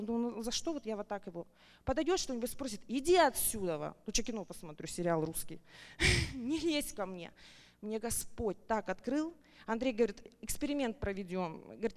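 A woman in her thirties giving an emotional, heartfelt church testimony at a retreat meeting, her voice climbing high when she gets excited. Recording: long reverb of a large hall.

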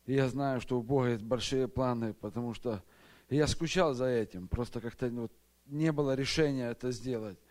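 A man's voice: medium pitch, normal speed, darkish tone. A man giving an earnest, grateful church testimony in plain everyday words. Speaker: retreat participant giving testimony.

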